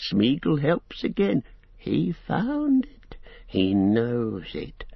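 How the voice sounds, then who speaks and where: A man voicing a sly, wretched male creature who hisses, whispers and squeaks in a slow, wheedling drawl. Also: big pitch swings, dry recording, highs cut off, exaggerated voice. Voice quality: hissing, breathy whisper swinging to high squeaks. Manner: wheedling, sulky, slow and drawn out.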